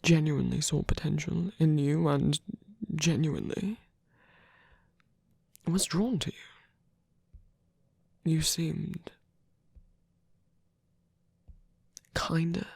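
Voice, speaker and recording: A man voicing a flirtatious villain who is tender underneath, in an intimate ASMR romance roleplay, speaking softly and haltingly in a husky, breathy voice. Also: fairly high pitch, no echo, studio quality, full character voice. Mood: earnest, hesitant. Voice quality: breathy, husky, often near a whisper. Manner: halting, hesitant, soft-spoken confiding. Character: flirtatious male villain, tender and sincere beneath the teasing.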